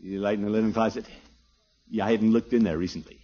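An actor playing a blustery, pompous middle-aged uncle who chuckles and mutters in 1950s radio comedy style. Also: male voice, on the low side, steady tone, quick pace, warm tone, lightly acted. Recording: muffled, noisy old radio recording.

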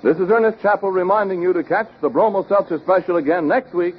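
An American man announcing and pitching a sponsor in 1940s radio style. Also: quick pace, slightly rough. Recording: dull old radio recording, faint noise.

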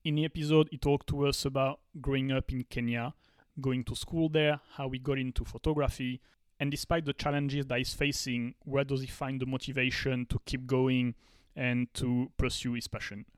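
A man co-hosting a podcast, asking casual questions in a chatty way with soft laughs and hesitant fillers. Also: medium pitch, little pitch movement, normal speed, smooth. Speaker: podcast co-host.

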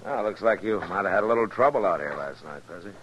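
An actor playing a calm, wry frontier lawman, laconic and dry, in an old radio western. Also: sits low, quick pace, dull audio. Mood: measured. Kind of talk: 1950s radio western acting. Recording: faintly noisy old radio recording.

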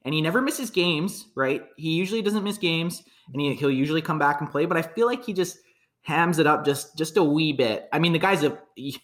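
A man's voice: on the high side, animated, a little fast, fairly bright tone. A man from Canada chatting casually about football, frank and rambling, swearing freely. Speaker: podcast co-host. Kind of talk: casual sports chat.

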